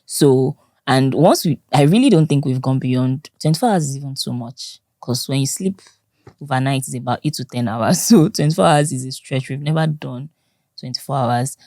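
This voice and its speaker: A young Nigerian woman with a Nigerian accent and a strikingly low, dark voice. She talks loudly and expressively as an interview guest. The sound is dry and close. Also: normal speed, no echo. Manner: loud, expressive, laughs often. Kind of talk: conversational interview.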